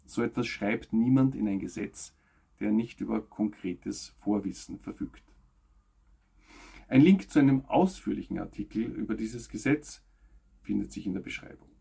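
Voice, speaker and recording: Male news presenter, husky and creaky, scripted delivery with emphatic phrasing. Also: medium pitch, dark tone.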